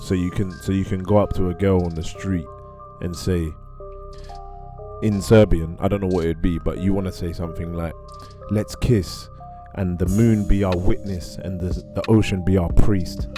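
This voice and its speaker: A man joking around in a relaxed, slangy way on a reaction video. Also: low voice, moderate pace, faint music behind. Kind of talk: casual reaction chat.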